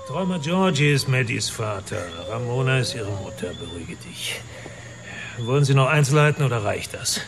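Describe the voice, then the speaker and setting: A man's voice: mid-range voice, expressive, moderate pace, creaky. An actor playing a crude, boastful, middle-aged wealthy father in a radio drama, with a mocking, theatrical manner. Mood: confessing.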